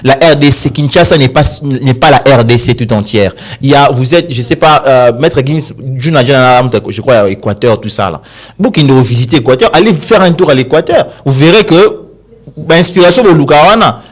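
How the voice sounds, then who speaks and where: A man's voice: mid-range voice, animated, moderate pace. A man from DR Congo with a Congolese accent, a guest in a casual studio chat, rambling and telling earnest stories.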